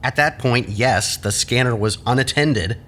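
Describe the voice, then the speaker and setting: A man's voice: mid-range voice, measured pace, a bit bright, natural voice. A man acting in an audio drama as an exhausted, agitated man in withdrawal, stammering and sighing, pleading and bitter. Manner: stammering, sighing, self-pitying. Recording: faint background noise.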